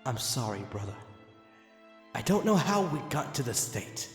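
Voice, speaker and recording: A man's voice: medium pitch, big pitch swings, a little slow. Echoing, weary young vampire warrior, played by a man. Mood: contrite. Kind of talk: dramatic storybook character reading. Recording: echoey reverb over background music.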